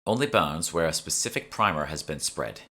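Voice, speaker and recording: A man's voice: very deep voice, expressive, moderate pace, bright, forceful tone, full character voice. A man voicing a brusque, irritable young woman, a know-it-all alchemist, in a fantasy audiobook, with a curt and sardonic manner.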